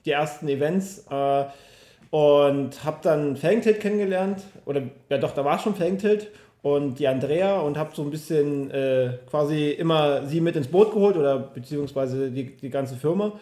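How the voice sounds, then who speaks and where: A man in his thirties speaks as a guest in a casual conversation. His voice sits a little higher than most men's. He tells stories informally, and the room echoes noticeably. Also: moderate pace, dark tone. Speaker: podcast guest. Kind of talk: casual chat, personal storytelling. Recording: roomy, echoing room.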